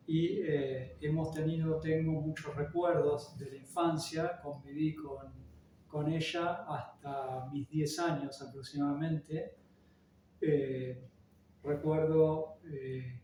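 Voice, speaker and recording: Slow-talking Argentine man, hesitant. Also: fairly high pitch, warm tone, recorded from a distance, a little hiss or hum. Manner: hesitant, many fillers and restarts. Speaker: interviewee. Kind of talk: relaxed personal recollection. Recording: roomy echo, voice off-mic, faint ambience.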